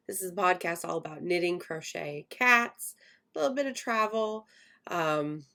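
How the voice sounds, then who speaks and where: A woman in her late twenties hosting a casual podcast, croaky and hoarse while getting over an illness, with a voice that cracks now and then. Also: fairly low pitch, normal speed, sharp tone. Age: 20s. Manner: chatty, self-deprecating, laughs often. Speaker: podcast host. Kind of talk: casual chat.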